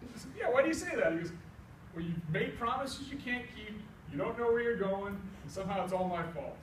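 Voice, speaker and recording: A middle-aged American man tells a comic tall tale live, in a folksy, animated way, acting out both sides of the dialogue. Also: high voice, fairly quick. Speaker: storyteller. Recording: echoey room, distant mic, faint noise.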